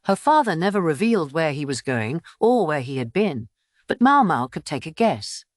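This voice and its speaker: A woman's voice: deep voice, very animated, moderate pace, dry recording, studio quality. A woman reading scripted story narration for an audiobook.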